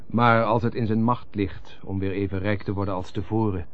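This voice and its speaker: An actor in a radio drama playing a loyal, persuasive friend and go-between, speaking in earnest, formal rhetoric. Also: male voice, lowish voice, steady tone, moderate pace, lightly acted. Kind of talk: classic European radio drama acting. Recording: dull lo-fi old radio recording.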